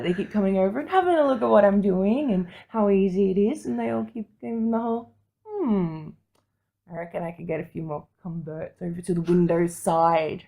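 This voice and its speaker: A woman's voice: fairly low pitch, darkish tone. A woman co-hosting a podcast, talking casually and candidly with the odd swear word. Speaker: podcast co-host. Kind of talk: casual chat.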